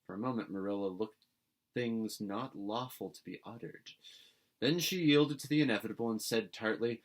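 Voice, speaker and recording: A man's voice: fairly low pitch, moderate pace. A man reading a classic novel aloud as narrator, in scripted storytelling prose.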